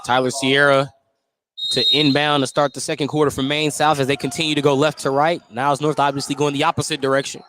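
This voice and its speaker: A man's voice: fairly high pitch, level tone, fast talker, background noise. An American man doing live radio play-by-play commentary of a basketball game as the announcer.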